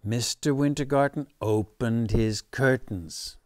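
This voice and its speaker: A man in his sixties reading a children's story aloud expressively, in a measured, dramatic manner. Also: medium pitch, no room sound, studio quality. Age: 60s. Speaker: storybook reader. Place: living room at home, on a sofa.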